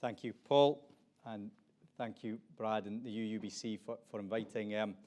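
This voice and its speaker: A man's voice: on the low side, fairly even intonation, moderate pace, a little mellow. A middle-aged man from Aberdeen with a Scottish accent, introducing a conference panel in a conversational way with frequent restarts. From Scotland. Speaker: panel moderator.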